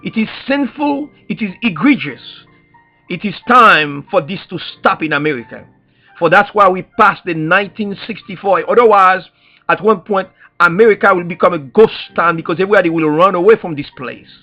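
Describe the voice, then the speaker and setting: A man's voice: high-pitched, moderate pace, pushed and bright. A man with a Haitian accent speaking as an activist in an impassioned, preacher-like address, emphatic and repetitive, leaning on rhetorical questions. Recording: dull lo-fi sound, faint music behind.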